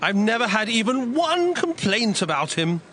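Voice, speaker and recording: A man's voice: sits high, very animated, normal speed, fairly bright tone. A man playing an earnest, loyal ancient king in a Bible drama, speaking emphatically. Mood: earnest, reassuring. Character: earnest, loyal ancient Philistine king defending his soldier. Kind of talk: dramatized Bible audio acting.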